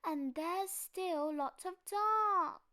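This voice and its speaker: A woman's voice: extremely high pitch, very slow, pushed and bright, pitched above the performer's own voice, full character voice. A woman voicing a small, frightened young boy bear in a timid, whiny, childlike way for a storybook. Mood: doubtful.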